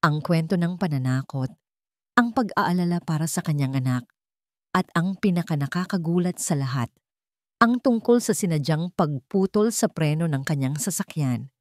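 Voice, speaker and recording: A woman narrates a dramatic story in a scripted storytelling style. Her voice sits on the low side, and the recording is dry with little room sound. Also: expressive, moderate pace, no room sound.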